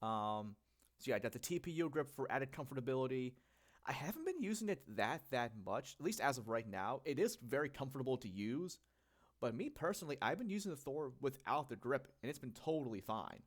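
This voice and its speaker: A man's voice: medium pitch, big pitch swings, a little fast. A young man from the United States who says he is congested from getting sick, hosting a casual solo talk about a gadget, rambling with lots of fillers and self-corrections. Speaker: channel host.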